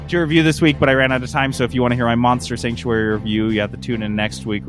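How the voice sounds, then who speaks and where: A man chatting casually as a gaming podcast host, with loose, irreverent, sweary talk. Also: mid-range voice. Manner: profane, irreverent.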